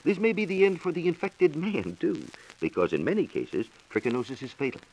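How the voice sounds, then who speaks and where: Animated 1940s American radio science reporter, male. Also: a little high, fairly quick, rough recording. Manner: formal, explanatory, declamatory. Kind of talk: 1940s scripted educational radio talk. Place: radio studio. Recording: hissy 1940s radio transcription disc.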